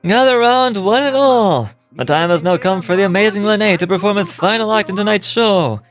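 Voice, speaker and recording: A man voicing a theatrical young male stage magician from a game, knowing and cutting, with deliberate, pointed delivery. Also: sits high, faint music behind, pitched above the performer's own voice, lightly acted. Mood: showman's flourish.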